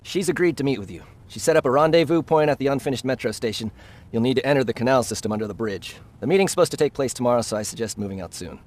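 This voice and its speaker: An actor as a businesslike male officer in a war game. He delivers orders briskly at a medium pitch, with plain authority. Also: animated, darkish tone, natural voice. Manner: matter-of-fact, authoritative. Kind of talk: dramatic video game acting.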